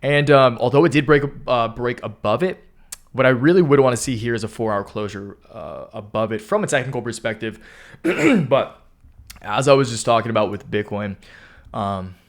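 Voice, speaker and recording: A young man hosting a stock market video, talking casually through charts with a hoarse voice, often clearing his throat. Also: medium pitch, lively intonation, normal speed. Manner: keeps clearing his throat, upbeat and hype-driven. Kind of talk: casual chart analysis talk.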